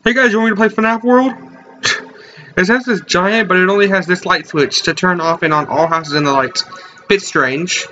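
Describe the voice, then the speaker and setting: A man's voice: high voice, moderate pace, pushed and bright, slight background noise. A young man hosting a casual let's play in his bedroom, joking and reading game text aloud. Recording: lo-fi webcam audio with game music.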